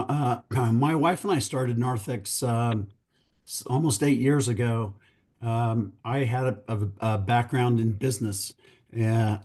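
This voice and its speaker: A middle-aged man, hoarse from losing his voice, giving an earnest, conversational presentation to pastors over a video call. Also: mid-range voice, dark tone. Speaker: church real estate company founder.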